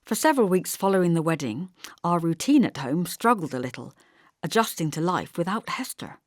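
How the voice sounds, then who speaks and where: Low-pitched woman narrating an audiobook. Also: sing-song, brisk, no room sound, studio quality. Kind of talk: scripted first-person literary narration.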